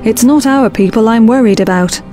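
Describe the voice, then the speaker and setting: Woman acting a blunt, high-voiced team leader. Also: brisk, music in the background, natural voice. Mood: urgent command. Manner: blunt, authoritative. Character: brisk, commanding, practical young woman team leader. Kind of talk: audio drama acting.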